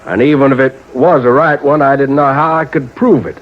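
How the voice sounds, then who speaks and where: An actor playing a modest, folksy American local in a radio drama, talking in a halting, unassuming way. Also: male voice, medium pitch, steady tone, lightly acted. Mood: modest, musing. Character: modest, folksy male country local with sharp instincts. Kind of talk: 1950s radio drama acting. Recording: muffled old radio broadcast.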